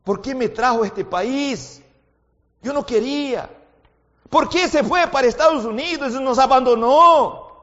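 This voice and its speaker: A man preaching a sermon in a language that is not his first one, as his native Portuguese slips in. He shifts between quiet, intimate talk and loud, fervent exhortation, and keeps asking his listeners questions. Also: extremely high pitch, a little slow, big-room sound, highs cut off. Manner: asks the audience frequent questions.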